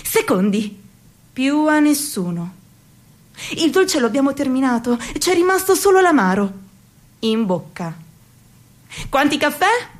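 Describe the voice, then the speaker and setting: A woman's voice: high-pitched, big pitch swings. An actress in her thirties playing a bitter, ironic young waitress in a theatrical monologue. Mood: sardonic. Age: 30s. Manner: sarcastic, measured delivery. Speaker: actress in a radio theatre piece.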